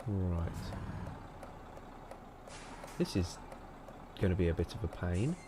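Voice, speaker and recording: A man's voice: sits low, big pitch swings, moderate pace. A young man casually live-streaming a driving game, rambling, joking at his own expense and swearing as he chats to viewers. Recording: loud game engine and traffic noise behind.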